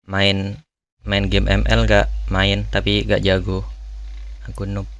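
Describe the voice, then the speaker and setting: Male host, lower register, informal and musing, for a relaxed question-and-answer chat. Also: slow. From Indonesia. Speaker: language channel host answering viewer questions. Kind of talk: casual Q&A chat.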